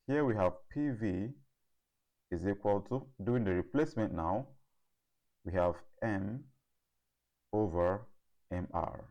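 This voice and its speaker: A man tutoring in a deliberate, step-by-step way, often checking in with a quick okay or right. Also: on the low side, lively intonation, dark tone. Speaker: chemistry tutor. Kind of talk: step-by-step instructional explanation.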